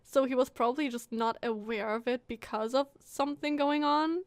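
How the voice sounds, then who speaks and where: High-pitched woman streamer reacting to a game. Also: moderate pace, clear, resonant tone, dry recording. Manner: empathetic, thinking aloud. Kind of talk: casual game commentary. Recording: game music behind the voice.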